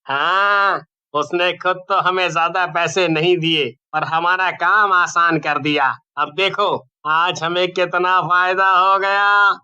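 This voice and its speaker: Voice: medium pitch, fairly even intonation, takes it slowly, sharp tone, clear, resonant tone, dull audio. A performer voicing a menacing, greedy male robber in a cartoon story, blunt and bullying. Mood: gloating. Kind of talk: hobby cartoon story acting.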